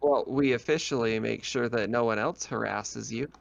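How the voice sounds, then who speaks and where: Man playing a tough, gruff guard woman. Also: medium pitch, moderate pace, a crowd in the background, close to an everyday voice. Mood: dismissive, guarded. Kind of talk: tabletop game role-play.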